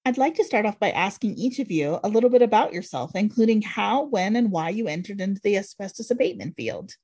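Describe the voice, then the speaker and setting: A woman's voice: medium pitch, expressive, fast talker, warm tone, slightly rough. A woman hosting a podcast, reading a scripted introduction and then asking guests upbeat questions. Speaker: podcast host.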